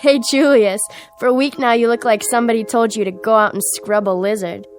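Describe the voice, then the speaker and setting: Actress as high-voiced, sardonic wisecracker. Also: female voice, steady tone, fairly quick, faint music behind, close to an everyday voice. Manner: sardonic, blunt.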